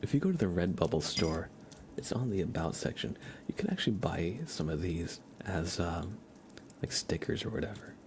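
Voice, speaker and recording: Deep, gravelly, hushed man streaming ASMR. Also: normal speed. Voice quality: soft, hushed, partly whispered. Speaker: livestream host. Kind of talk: ASMR livestream, casual rambling chat. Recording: steady background noise with keyboard typing.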